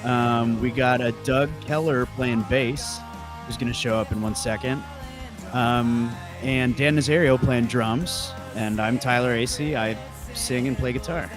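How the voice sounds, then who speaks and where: A man's voice: mid-range voice, steady tone, a little slow, over music. A man from Pennsylvania, speaking casually as an interview guest and band frontman. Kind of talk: casual chat.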